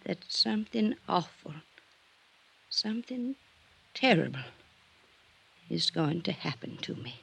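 A woman's voice: lowish voice, very animated, slow, vocal fry, long pauses, a bit bright, breathy edge, full character voice. An actress playing a naive, aging society widow in an old radio drama, theatrical, girlish and wistful. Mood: wistful, fearful. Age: older. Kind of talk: 1950s radio drama acting.

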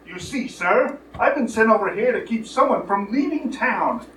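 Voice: mid-range voice, expressive, moderate pace, fairly bright tone, natural voice. A distant, echoey live recording of an actor playing a tough-talking male lawman. The delivery is officious and slangy, in the manner of vintage radio comedy. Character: gruff, slangy male lawman or private detective. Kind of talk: old-time live radio play acting. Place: live radio play performance in a roomy space. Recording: echoey room, far mics, faint noise.

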